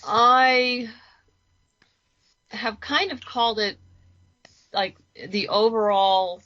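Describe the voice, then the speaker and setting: Bright-voiced woman on lo-fi audio. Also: on the high side, very slow. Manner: chatty, informal, thinks aloud. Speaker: interview guest, writer and creator. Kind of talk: casual conversational interview.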